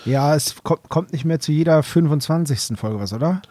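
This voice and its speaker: A man's voice: on the high side, fairly quick, dark tone, breathy edge, no echo. A man speaking as a podcast co-host in casual, conversational chat.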